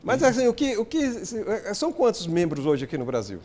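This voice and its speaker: Brazilian male TV host, fairly high voice, halting questions full of restarts. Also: sing-song, darkish tone, slight background noise. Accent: Brazilian Portuguese. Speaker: TV talk show host. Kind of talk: TV studio interview.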